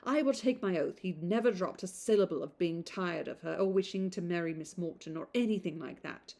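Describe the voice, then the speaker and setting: A woman's voice: on the low side, fairly quick, creaky, warm tone. A woman voicing a gossipy, affected young woman from a period novel, chattering in exclamatory run-on sentences. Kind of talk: novel read aloud.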